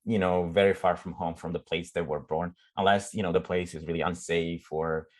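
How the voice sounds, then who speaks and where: A middle-aged man from Romania with a Romanian accent, a startup CEO chatting in an interview, often pausing and restarting as he searches for words. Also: deep voice, steady tone. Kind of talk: casual conversational interview.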